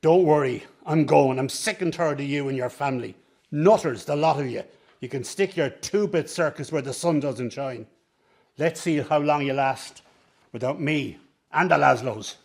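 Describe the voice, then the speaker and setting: An actor playing a shady circus worker in a radio drama, brash, contemptuous and goading. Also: male voice, on the high side, normal speed, vocal fry. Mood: scornful, angry. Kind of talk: naturalistic radio drama acting.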